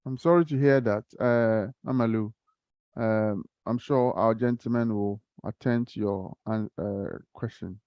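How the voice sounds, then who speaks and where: A man's voice: fairly low pitch, a little slow, no room sound, highs cut off. A man moderating an online community question-and-answer session, politely thanking callers and calling on the next speaker by name. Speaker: forum moderator.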